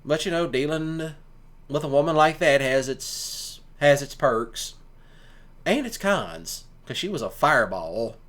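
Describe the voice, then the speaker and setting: A man's voice: on the high side, animated, measured pace, a bit bright. A man giving casual, rambling commentary in a folksy, colloquial way, like a streamer talking to listeners. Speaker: streamer and ASMR audio creator.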